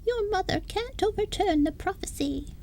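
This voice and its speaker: A woman voicing a tiny, fiery fairy queen stuck in a possum's body, squeaky and commanding, in a storybook reading. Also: animated, darkish tone, a little hiss or hum. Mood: indignant. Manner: squeaky, commanding, high-pitched and slow.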